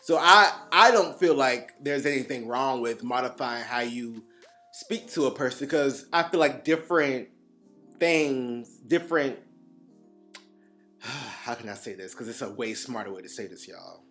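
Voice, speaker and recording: A man co-hosting a casual chat podcast, animated and quick to laugh, with faint guitar music underneath. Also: mid-range voice. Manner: animated, laughs often, drawn-out emphasis. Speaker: podcast co-host.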